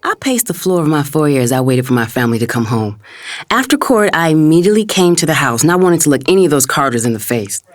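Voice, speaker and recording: An actress plays a middle-aged mother narrating her family drama. Her voice is deep for a woman and very expressive, with an indignant, protective temper. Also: fast, faint music behind. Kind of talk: first-person dramatized audiobook narration.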